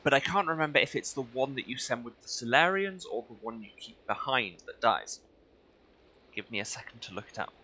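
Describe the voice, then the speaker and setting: A man's voice: medium pitch, expressive, brisk, bright, forceful tone, a little hiss or hum. A man giving casual, wry, conversational commentary as host of a video game playthrough. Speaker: let's play host.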